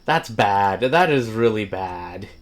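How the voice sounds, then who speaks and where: A man playing a game on stream and chatting in a calm, matter-of-fact way. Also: on the low side, slow pace. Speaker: player on stream. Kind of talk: casual gaming stream chat.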